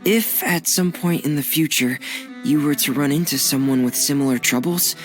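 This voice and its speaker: A man voicing a quiet, reassuring teenage boy in a video game cutscene, speaking softly and earnestly. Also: on the high side, moderate pace, soft background music, close to an everyday voice. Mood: calm, earnest.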